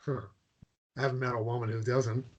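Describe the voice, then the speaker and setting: A man's voice: medium pitch, little pitch movement, a little fast, a little mellow, highs cut off, a little hiss or hum, close to an everyday voice. A man playing an awkward, apologetic, melancholy American traveller in a drama table read, speaking hesitantly with stammers. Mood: polite, sheepish.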